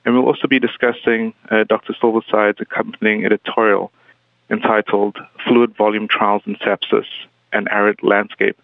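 A man's voice: lowish voice, level tone, moderate pace, gravelly, no room sound. A man hosting a podcast interview in a polite, formal way with some fillers. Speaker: podcast host and editor. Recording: tinny phone-like line, dry.

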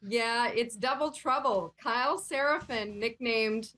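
A woman's voice: on the high side, a little slow. Woman guest telling her story plainly. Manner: plain, conversational, steady storytelling. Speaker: guest, whistleblower. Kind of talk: interview answers.

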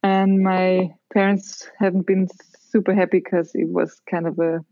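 A woman's voice: on the low side, little pitch movement, moderate pace, dry recording. A woman from Carinthia with an Austrian accent, chatting casually as an artist being interviewed. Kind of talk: casual chat. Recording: dull lo-fi sound.

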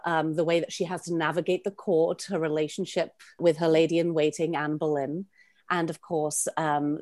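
A woman's voice: sits low, a little mellow, no echo, studio quality. A woman with a Welsh accent, a playwright and director, talking expansively and chattily as a guest in an interview. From Wales. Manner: chatty, expansive, laughs often. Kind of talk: podcast interview.

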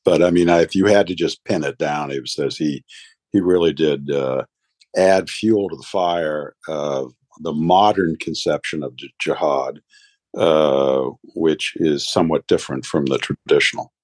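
A man with a very low voice speaks as a guest scholar on a panel. He talks haltingly, with many fillers and restarts. The recording is dry and close. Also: a little mellow, dry recording. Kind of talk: panel discussion.

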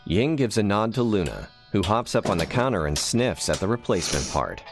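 A sardonic storyteller narrates scripted comedy with open opinions. His voice is on the low side and very lively in its pitch movement. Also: brisk, soft background music. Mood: storytelling. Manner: sardonic, conspiratorial. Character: wry, opinionated male storyteller.

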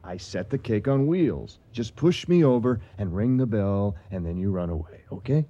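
A man's voice: lowish voice, lively intonation, normal speed, mellow, muffled recording, slight background noise, lightly acted. A man playing a furtive, impatient big schemer in a radio comedy, curt and conspiratorial. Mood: impatient, evasive.

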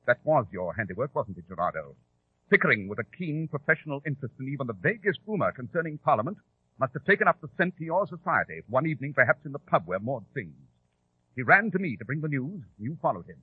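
A self-assured detective speaks briskly and precisely, never rattled. The voice is husky and lively in pitch, on a muffled, narrow vintage radio recording. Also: male voice, dry recording. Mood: coolly probing. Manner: crisp, precise, formal. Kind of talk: old-time radio drama acting.

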